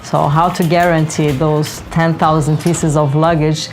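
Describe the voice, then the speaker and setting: A woman's voice: low voice, little pitch movement, a little slow, darkish tone. A middle-aged woman from Brazil who later lived in Germany, speaking as a consultant in interview soundbites for a promotional film. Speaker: senior consultant, project manager. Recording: music behind the voice. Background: background music.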